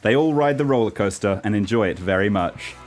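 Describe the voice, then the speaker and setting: A man's voice: fairly low pitch, lively intonation, fairly quick, music in the background. A man reading the scripted narration of an amateur radio play.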